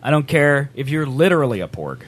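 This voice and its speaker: A man's voice: medium pitch, very animated, fairly quick. A man co-hosting a podcast, chatting casually and teasing his co-host in a joking way. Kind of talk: casual banter.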